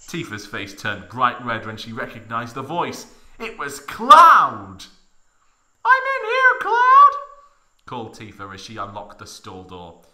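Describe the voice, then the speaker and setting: A man's voice: on the low side, big pitch swings, measured pace, pushed and bright. A man narrating a comic dramatic reading in a mock-serious, theatrical manner with heavy emphasis.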